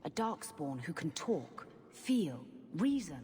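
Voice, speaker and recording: A woman's voice: sits low, sing-song, slow, warm tone, full character voice. An actress playing a zealous, determined woman mage in a fantasy video game, earnest and insistent in her speech. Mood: earnest persuasion. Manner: earnest, insistent, coolly persuasive. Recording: long hall reverb, background ambience noise.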